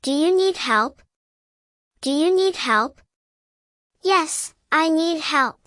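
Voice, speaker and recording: A woman with a distinctly high voice reads a beginner language drill. She speaks slowly and carefully in short, evenly measured phrases, repeating each one. The sound is dry, with no room echo. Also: expressive, pushed and bright. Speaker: English teacher narrator.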